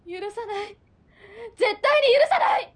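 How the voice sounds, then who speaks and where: A woman playing a young captive girl in a radio drama, emotional and shrill, both defiant and frightened. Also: measured pace. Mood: anguished defiance. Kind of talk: Japanese radio drama acting.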